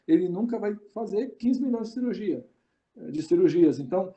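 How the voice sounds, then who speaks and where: Man, slightly high voice, musing webinar guest, dull lo-fi sound. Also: animated, normal speed, dark tone. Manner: earnest, musing, fillers and tag questions. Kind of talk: webinar discussion.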